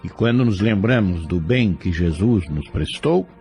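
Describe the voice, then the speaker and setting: A man reads a scripted moral reflection with formal solemnity. His low voice rises and falls expressively, with gentle music behind him. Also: expressive, unhurried. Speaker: commentator. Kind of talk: scripted moral commentary, sermon-like.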